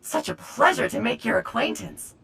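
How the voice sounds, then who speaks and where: A woman's voice: a little high, animated, moderate pace, vocal fry, fairly bright tone. A woman voicing a chirpy, polite servant herald in a video game, with effusive, formal, flowery speech. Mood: eager, deferential. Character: chirpy, polite, excitable servant herald spreading gossip of a legend.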